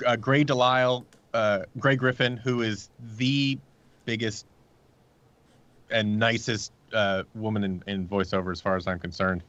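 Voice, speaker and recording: Lowish male guest on dull lo-fi audio. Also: fairly even intonation, a little slow, a bit bright. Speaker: interview guest, audio drama producer. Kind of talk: casual interview chat.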